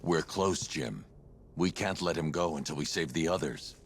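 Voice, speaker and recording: An actor playing a grim, terse masked vigilante in a video game, with a gravelly voice and grave delivery. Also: male voice, a bass voice, moderate pace, slight background noise. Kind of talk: cinematic video game acting.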